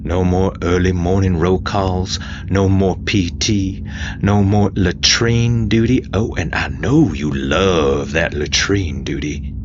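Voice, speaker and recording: A man voicing a relentless, taunting military drill sergeant in a sci-fi audio story, loud and full of contempt. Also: low voice, fairly even intonation, takes it slowly, highs cut off, background noise. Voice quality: loud, forceful shouting. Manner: taunting, browbeating.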